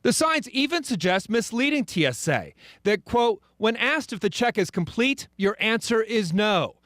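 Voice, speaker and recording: An American man delivers a scripted investigative news report. His voice is notably high for a man, in the manner of a TV reporter. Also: sing-song, normal speed, fairly bright tone, no room sound, studio quality.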